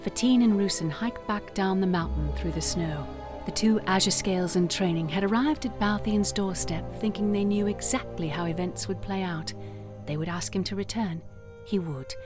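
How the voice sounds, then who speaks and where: A woman narrating a fantasy audio drama in a measured, descriptive storytelling manner. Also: medium pitch, over music, lightly acted.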